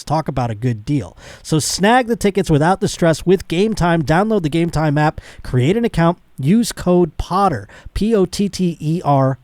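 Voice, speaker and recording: A man's voice: a little high, a little mellow, dry recording, studio quality. A man in his late thirties hosting a casual comedic podcast, talking in a blunt, slangy way with some swearing. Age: late 30s. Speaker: talk show host.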